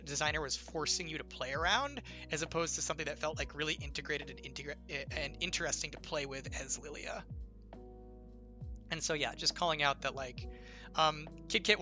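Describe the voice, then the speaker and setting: A man's voice: fairly high pitch, sharp tone. A young man who designs games, chatting casually to stream viewers, his voice slightly strained from illness and his talk rambling with lots of "like" and "right?". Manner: rambling explainer, heavy on "like" and "right?", playful teasing. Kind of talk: casual livestream Q&A.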